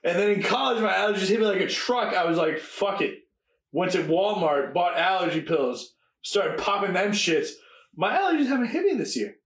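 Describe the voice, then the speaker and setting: A young man, fairly high-voiced for a man, chats casually on a podcast, telling quick stories and swearing freely. He sounds like he is in an echoey room. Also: very animated, fairly bright tone. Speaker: podcast co-host. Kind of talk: casual chat.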